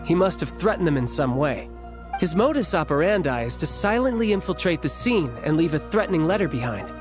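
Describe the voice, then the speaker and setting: A man voicing a breezy, confident young male officer in a video game, with a chummy, assured manner. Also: high voice. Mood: businesslike. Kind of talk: video game cutscene acting.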